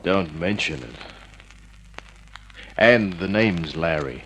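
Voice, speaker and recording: An actor playing a wry, flirtatious American private detective with a world-weary manner, in the style of 1950s radio noir. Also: male voice, deep voice, animated, slow, fairly bright tone, a little hiss or hum, exaggerated voice, low-quality recording. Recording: old radio drama recording with music.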